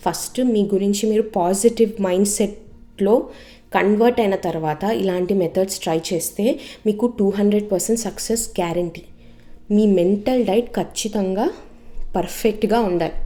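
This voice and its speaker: A woman's voice: mid-range voice, warm tone. A woman with a Telugu Indian accent giving informal motivational advice as a coach, using emphatic repetition, rhetorical questions and English phrases mixed in. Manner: mixes English phrases into speech. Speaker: manifestation coach and channel host. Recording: music under the voice.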